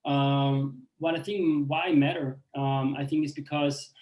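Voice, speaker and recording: Man, 40s, from Austria, halting storytelling, fillers and restarts, echoey room. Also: a little high, fairly even intonation, a little mellow, muffled recording. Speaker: speaker on a panel. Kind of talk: personal story in a talk. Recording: roomy echo.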